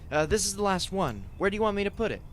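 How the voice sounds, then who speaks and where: Animated, stubborn teenage boy, acted out. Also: mid-range voice, very noisy, close to an everyday voice. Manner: casual, teenage slang. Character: eager, stubborn fourteen-year-old boy, slangy grandson. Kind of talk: radio play acting.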